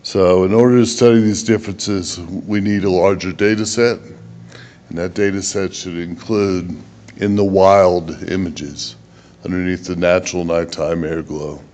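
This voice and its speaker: A man with a very deep voice speaks as a researcher at a conference. His delivery is plain-spoken and halting as he explains technical work. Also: fairly even intonation, darkish tone. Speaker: research presenter. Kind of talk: conference presentation, then answering questions.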